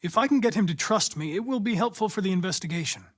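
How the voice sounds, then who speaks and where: An android cop from a video game, lightish higher voice, polite n analytical, very exact formal wording, controlled but earnest. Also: male voice, lively intonation. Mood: polite, focused. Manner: precise, formal, measured. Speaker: android detective.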